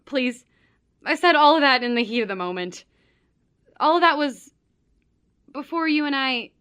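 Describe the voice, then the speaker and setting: Scornful cheating wife in a horror audio drama, high sharp voice, really intense. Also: female voice, lively intonation, normal speed. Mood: intimate, hushed. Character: Mia, unfaithful wife, panicky, scornful, secretly in love.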